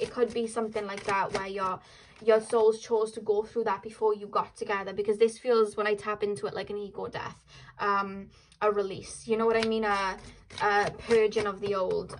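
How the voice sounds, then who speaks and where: A woman's voice: medium pitch, slight background noise, rough recording. A young woman with a Welsh accent giving a casual tarot reading, chatting in a rambling way full of fillers and "like". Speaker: tarot reader.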